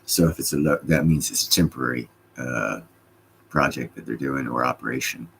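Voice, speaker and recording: A man's voice: rumbling low, a little fast, gravelly, fairly bright tone, a little hiss or hum. A man with a slight Scottish accent hosting a casual livestream, reading chat comments and joking with loose, profane banter.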